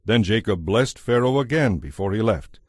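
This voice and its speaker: A man narrates scripture in a measured, solemn way. His voice is rather low and husky. It is recorded dry, with faint music behind it. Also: expressive, a little mellow, no echo.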